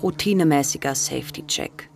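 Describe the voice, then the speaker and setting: A woman's voice: sits low, brisk, creaky, background noise. A woman playing a detached, precise narrator in a scripted literary radio play. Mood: measured, observing. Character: detached female narrator describing scenes precisely.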